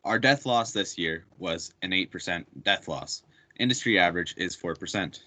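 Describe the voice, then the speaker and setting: Deep-voiced young man reporting figures. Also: moderate pace, pushed and bright. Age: young adult. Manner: matter-of-fact, corrects himself. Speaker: student presenter. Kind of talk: prepared class presentation.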